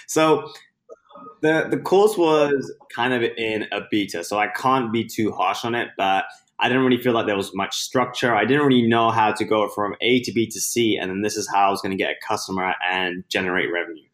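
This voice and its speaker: A man's voice: fairly low pitch, a bit bright. A young man from London with a British accent, chatting upbeat as a podcast guest about his business start. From London, England. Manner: chatty and upbeat, with quick laughs. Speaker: podcast guest, Amazon seller. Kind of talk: casual interview chat.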